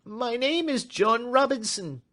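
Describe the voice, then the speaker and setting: A man voicing a nervous, frightened little man in an audiobook, pleading in a thin, high, quivering voice. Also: animated, unhurried, a bit bright, heavily acted. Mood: anxious. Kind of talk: audiobook reading.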